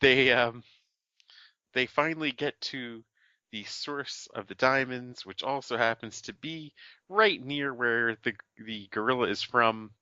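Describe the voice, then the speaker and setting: A male podcast co-host with a bright, forceful voice works through a film plot in loose conversation. He stumbles and restarts often. The recording is dry and a little dull. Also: medium pitch, unhurried, smooth, dry recording. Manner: rambling plot summary with fillers and restarts. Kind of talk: casual film review chat.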